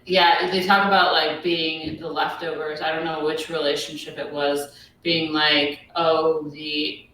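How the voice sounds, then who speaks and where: A woman with a deep voice co-hosting a podcast, musing in a casual, hesitant way with many ums. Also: level tone, unhurried, a bit bright, very echoey, recorded from a distance, slight background noise, poor audio. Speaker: podcast co-host. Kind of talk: casual recap chat.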